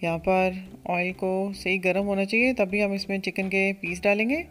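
A woman hosting a cooking channel, narrating a recipe step by step in a steady instructional voice. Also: medium pitch. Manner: steady step-by-step instruction, addresses viewers as friends. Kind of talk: recipe voice-over.

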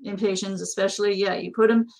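A woman's voice: medium pitch, steady tone, quick pace, vocal fry, a little mellow, rough recording. A middle-aged woman with an American accent, co-hosting a casual, conversational question-and-answer chat. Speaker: co-host. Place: small indoor office.

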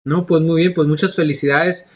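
A man's voice: a little high, fairly even intonation, moderate pace. A man in his thirties hosting an interview over a video call, friendly and chatty, speaking into a large studio microphone in a small room. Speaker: host. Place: small room with grey wall. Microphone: large studio mic on arm.

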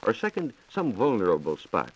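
An American man explaining science in a measured, formal way on a 1940s radio program. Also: fairly low pitch, wildly swooping pitch, husky, muffled recording. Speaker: science reporter and radio co-host. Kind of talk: 1940s radio science talk, answering questions. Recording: 1940s radio transcription disc.

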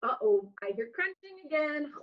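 A woman in her thirties reading a storybook aloud to young children with expressive, exaggerated delivery and dramatic pauses, in a small room. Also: sits high. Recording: dull, lo-fi webcam audio.

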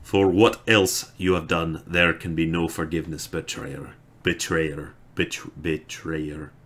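A man voices a grieving hero who threatens in a soft, low hush, cold rather than loud. The tone is deep and steady, like a line from a fantasy audiobook read at home. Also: takes it slowly, slightly rough, heavily acted. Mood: vengeful. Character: grieving male hero, cold and quietly vengeful.

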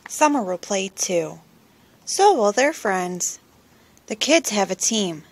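A woman reading a children's picture book aloud in simple, expressive phrases for young listeners, recorded at home on a phone. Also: mid-range voice, slow pace. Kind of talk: children's storybook read-aloud. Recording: noisy home recording with clicks.

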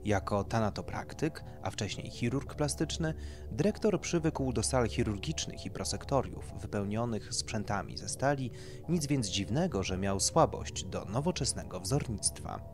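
A man's voice: lowish voice, lively intonation, moderate pace, music in the background. A man reading a satirical horror story as scripted narration. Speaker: narrator, audiobook reader.

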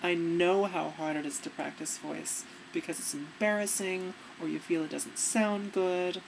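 A young woman with a fairly deep voice giving earnest, relaxed advice to her webcam in a dim room. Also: a little slow, creaky, noisy background. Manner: earnest, relaxed, demonstrating as she talks. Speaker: vlogger. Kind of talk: casual advice vlog.

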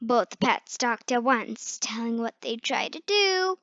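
Slow, high-voiced woman reading a storybook. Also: lively intonation, a bit bright. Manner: halting, stumbles over hard words. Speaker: read-aloud storyteller. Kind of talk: reading a children's picture book aloud. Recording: muffled, echoey room recording.

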